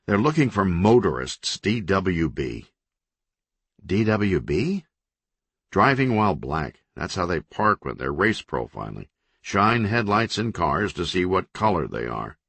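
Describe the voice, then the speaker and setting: A man's voice: deep voice, sing-song, unhurried, a bit bright, husky, no echo, heavily acted. A man voicing a hot-tempered governor who gives blunt, profane orders and explains things coldly. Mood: cold, even. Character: hot-tempered male state governor, forceful.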